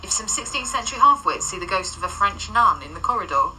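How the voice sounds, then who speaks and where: An actress playing a sardonic, streetwise ghost agent who stays unflappable, in a radio drama. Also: female voice, medium pitch, lively intonation, quick pace, sharp tone. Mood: dry, sardonic. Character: wry, unflappable female ghost of a French nun, paranormal agent. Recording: slightly distant, faint background noise and ambience.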